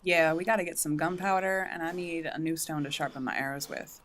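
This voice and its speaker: A woman's voice: low voice, fairly even intonation, fairly quick, fairly bright tone. A woman playing a blunt, self-reliant backwoods daughter with a rural Southern US accent in a radio drama. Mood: matter-of-fact.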